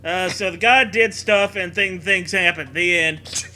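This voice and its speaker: A man's voice: high voice, measured pace, bright, forceful tone, faint music behind. A man co-hosting a comedic read-aloud of fan fiction, riffing casually with mocking sarcasm and swearing. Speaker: co-host reading fan fiction.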